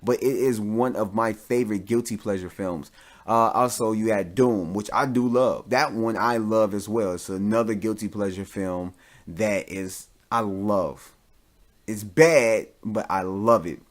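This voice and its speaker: A man's voice: on the low side, fairly even intonation, a little slow. A man hosting a podcast, giving casual, rambling opinions in an informal, mildly profane way as he thinks aloud. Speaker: podcast host.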